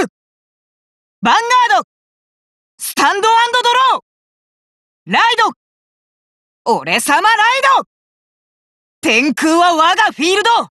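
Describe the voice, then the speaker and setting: An actor voicing a cocky, hot-blooded young male fighter in an anime card game, rough-talking and arrogant, with emphatic battle cries. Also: sits high, sharp tone, dry recording, clean studio sound, heavily acted.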